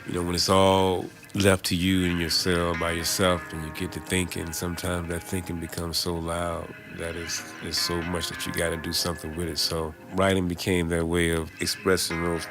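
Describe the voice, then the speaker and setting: A man reflects aloud in an interview manner. His voice is deep and stays very even, with music clearly playing behind it. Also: normal speed, hardly any pauses. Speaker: poetry professor, former prisoner.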